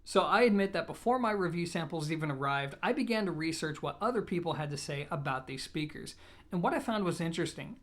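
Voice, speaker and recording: A man presenting a product review in a conversational, explanatory way, measured but leaning on key words for emphasis. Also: a little high, fairly bright tone. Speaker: audio gear reviewer, presenter.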